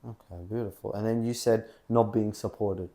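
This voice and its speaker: A man's voice: lowish voice, steady tone, moderate pace, mellow. A man interviewing a guest, asking questions hesitantly and restarting them as he goes. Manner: hesitant, repeats his question openings.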